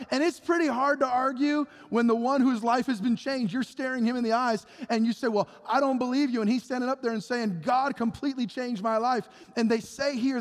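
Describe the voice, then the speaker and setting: A man preaching a sermon with passion, acting out Bible figures in quick bits of dialogue. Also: sits high. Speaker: pastor. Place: church sanctuary. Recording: long hall reverb.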